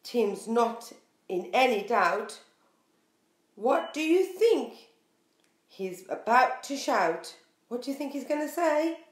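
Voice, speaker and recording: A woman in her forties tells a picture story to preschoolers with a fairly high voice and an unhurried pace. She is expressive and sing-song, addressing the children directly. The small room gives her voice a clear echo. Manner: expressive, sing-song, questioning the young listeners. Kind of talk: picture book read-aloud for young children. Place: small bare room indoors.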